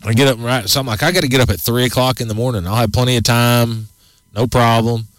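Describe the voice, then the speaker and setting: A man's voice: mid-range voice, fairly even intonation, fast, dry recording. A man in his forties hosting a casual outdoors radio show, rambling and folksy with many restarts. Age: 40s.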